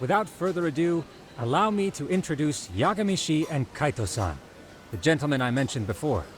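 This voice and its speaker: A formal, lecturing detective. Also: male voice, fairly high pitch, sing-song, a little fast, slight background noise.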